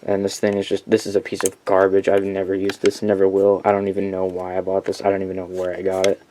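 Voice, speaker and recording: Guy with kinda low voice doing a casual collection video, rambly and blunt, corrects himself, noticeable noise in the background. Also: monotone, fast talker, dark tone. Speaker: collector presenting weather radios. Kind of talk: casual unscripted showcase vlog.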